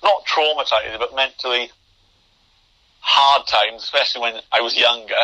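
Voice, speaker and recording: A man's voice: medium pitch, moderate pace, bright, forceful tone, rough recording. A young man who stammers, with repeated word starts and blocks, speaking as a guest in a podcast interview over a remote call. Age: young adult. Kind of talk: interview conversation.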